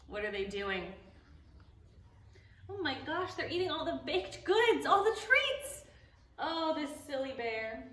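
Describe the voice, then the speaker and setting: A woman reading a picture book aloud to preschoolers at a library storytime, animated and playful, stopping to ask the children questions. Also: medium pitch, a little hiss or hum. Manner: playful, gasps and exclaims at the story. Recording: echoey room, voice a bit far from the mic.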